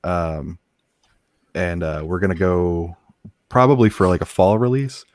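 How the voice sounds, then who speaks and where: Male guest, very low voice, dry close sound, relaxed halting delivery, full of filler words. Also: no echo. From English- and German-speaking. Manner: laid-back, halting with many fillers. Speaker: guest comic creator. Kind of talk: casual podcast chat.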